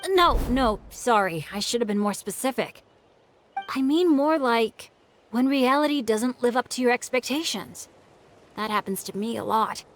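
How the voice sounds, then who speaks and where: A woman's voice: on the high side, expressive, normal speed, slight background noise, close to an everyday voice. A woman voicing an upbeat teenage boy adventurer. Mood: cheerful. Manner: cheerful, modest, easygoing. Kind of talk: video game voice acting. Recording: game audio with soft music behind.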